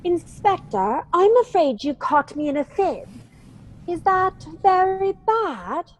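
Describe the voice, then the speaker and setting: A genteel, fragile widow acted by a woman in a radio mystery. Her high voice moves slowly and theatrically, coy and pleading, with noise behind it. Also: big pitch swings, heavily acted, rough recording. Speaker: actor in audio drama. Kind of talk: old-time radio mystery acting.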